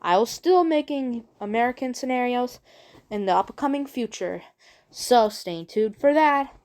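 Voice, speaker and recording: Girl doing a casual video chat, talks real slow, rambly, keeps restarting her sentences. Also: on the high side, animated, a little mellow. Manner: halting, rambling, repeats phrases. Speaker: video creator introducing own work. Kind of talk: casual unscripted vlog talk.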